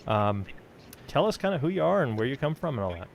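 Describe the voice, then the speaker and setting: A man hosting a casual interview, speaking in a halting, self-deprecating way. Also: sing-song, gravelly. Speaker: podcast host, film director. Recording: noisy mic with rumble.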